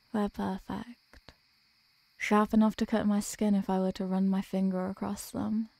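A woman acting as a devoted, centuries-old vampire girlfriend in an intimate ASMR roleplay, speaking softly and formally in a caressing, protective way. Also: medium pitch, vocal fry, no echo. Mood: tender, reassuring. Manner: soft, slow, formal, caressing delivery. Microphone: close microphone.

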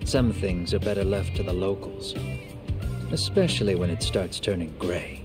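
A man's voice: low voice, normal speed, music in the background, natural voice. A man voicing a cocky, streetwise middle-aged handyman and fixer in a video game, sardonic and breezy. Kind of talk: English-dubbed video game cutscene dialogue.

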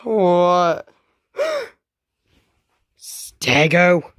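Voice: medium pitch, drawn-out words, fairly bright tone, dry recording. Anxious dinosaur hero for a homemade story, acted by an amateur, loyal and dramatic, in a dry recording. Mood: panicked grief. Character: frightened, loyal dinosaur hero protecting friends.

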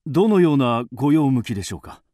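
A man's voice: mid-range voice, very animated, quick pace, warm tone, dry recording, studio quality, natural voice. A man playing a loyal, driven master swordsmith, earnest and intense, in a radio drama. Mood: deferential, awed.

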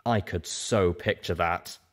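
A man's voice: low voice, measured pace. A man narrating a scripted story as a dramatic audiobook reader.

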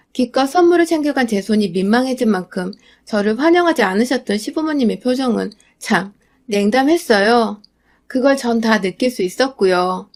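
A young adult woman reading a scripted first-person radio story in a steady, confiding way. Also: a little high.

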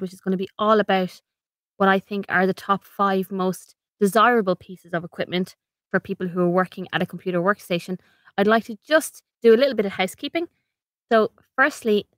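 Female podcast host, Irish accent, mid-pitched, slightly snuffly from a cold, practical conversational explainer, dry close-mic sound. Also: no room sound.

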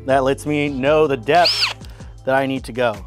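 A man's voice: medium pitch, fairly even intonation, normal speed, music in the background. A man in his thirties giving a casual, chatty DIY woodworking tutorial on an outdoor covered porch workshop, talking to viewers as guys. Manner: upbeat, chatty, says guys and man a lot. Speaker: fitness coach building gym gear.

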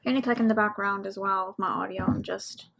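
A woman's voice: medium pitch, steady tone, a little fast, fairly bright tone. A woman giving casual, rambling reaction commentary as she watches an animation. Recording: clear background noise with clicks.

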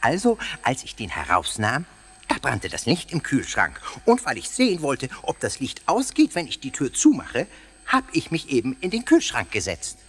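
An actor playing a cheeky, playful, excitable ghost in a children's radio play, theatrical and husky, tripping over his words. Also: mid-range voice, moderate pace, full character voice. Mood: chatty, playful. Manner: theatrical, stammering over words, muddling them. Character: cheeky, playful, excitable old castle ghost, greedy for snacks.

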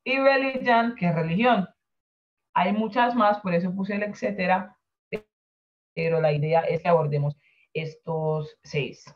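Profesora lenta en videollamada apagada. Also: female voice, lowish voice. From San Andrés Island, Colombia. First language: San Andrés Creole. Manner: slow, explains each word and translates it. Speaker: Creole language teacher. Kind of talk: online class over a video call. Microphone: video call. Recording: dull lo-fi video call audio.

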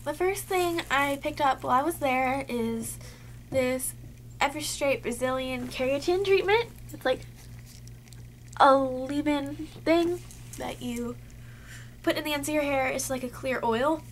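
A woman's voice: sits high, unhurried, a little hiss or hum. A young woman chatting casually to her webcam in her bedroom, a little sick and losing her voice, full of "like" fillers. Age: young adult. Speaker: beauty vlogger. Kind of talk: casual haul chat to camera. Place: cluttered bedroom, indoors.

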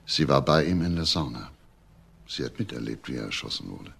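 A man's voice: a bass voice, lively intonation, a little fast, vocal fry, fairly bright tone, husky, exaggerated voice. A man playing a probing, world-weary police inspector in a crime radio drama, politely insistent, with hesitant repeated questions. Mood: questioning, polite. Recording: faint background noise.

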